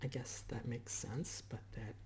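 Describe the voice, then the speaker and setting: Male ASMR roleplayer, whispered delivery, chatty portrait-artist patter in short, halting phrases. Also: mid-range voice, fairly even intonation, darkish tone. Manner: chatty, hesitant, pausing between short phrases.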